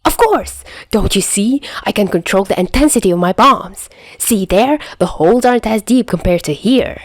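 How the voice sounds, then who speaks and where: A woman's voice: medium pitch, lively intonation, moderate pace, dry recording, lightly acted. A woman voicing a manic, indignant young male mad scientist, screechy and pompous, for an amateur audiobook. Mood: indignant boasting.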